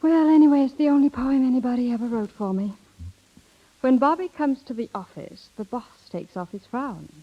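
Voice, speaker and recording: A woman's voice: sits high, moderate pace. An actress playing a proud, lovelorn young office girl in a 1930s radio drama, demure and wry, hiding her hurt. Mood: wistful. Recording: muffled 1930s radio broadcast with music.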